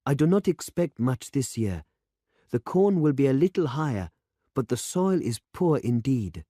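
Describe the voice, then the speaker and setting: A man's voice: mid-range voice, very animated, measured pace, mellow, no echo, clean studio sound, voice pushed up. A man reading aloud and voicing an earnest, impatient young male farming demonstrator in an urgent, insistent manner.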